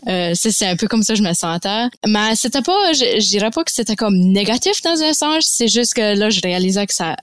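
A woman's voice: mid-range voice, dry recording. A young woman with an Acadian French accent, talking reflectively as a podcast guest, with many fillers and restarts. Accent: Acadian French of Baie Sainte-Marie. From Acadian Nova Scotia. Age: young adult. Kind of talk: casual conversation.

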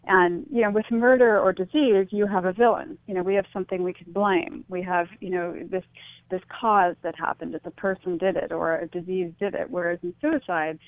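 A woman's voice: fairly low pitch, no echo. Woman on a thin phone line. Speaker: interview guest. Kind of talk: reflective interview conversation.

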